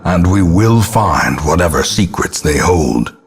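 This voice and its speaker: A man playing a grim old ancestor, narrating a gothic game in a grave, theatrical and portentous manner with a husky, gravelly voice. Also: low-pitched, animated, soft background music, full character voice. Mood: grave resolve. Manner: grave, ornate, deliberate, portentous.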